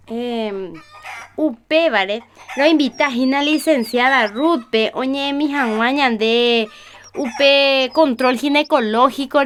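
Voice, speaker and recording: A woman's voice: fairly high pitch, slight background noise, lightly acted. An actress playing a sociable village woman who organises her neighbours in a radio soap opera.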